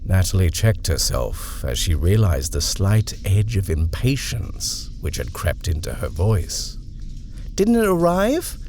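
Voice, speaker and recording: A man narrating a horror short story in a measured, expressive reading. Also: deep voice, a little hiss or hum.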